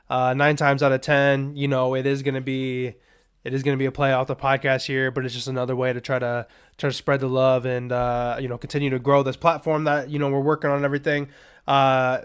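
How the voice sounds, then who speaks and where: A man hosting a sports betting podcast in a loose, rambling, casual way, his voice a little raspy and strained as he is under the weather. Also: a little high, a bit bright. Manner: rambling, loose, filler-heavy asides. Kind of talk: casual unscripted betting picks talk.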